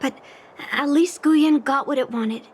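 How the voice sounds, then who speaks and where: High-voiced, earnest young swordswoman. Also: a little slow, slight background noise. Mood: regretful. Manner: hesitant, sincere. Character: earnest young swordswoman, a stubborn but sincere granddaughter. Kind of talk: English video game voice acting.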